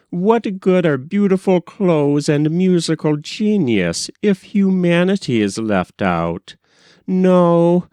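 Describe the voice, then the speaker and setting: Casting: exasperated storybook mother played by a man, raised pitch, dramatic lamenting, sweeping intonation. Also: a little mellow, clean tone, no room sound. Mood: despairing. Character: exasperated, despairing genteel mother of grown daughters.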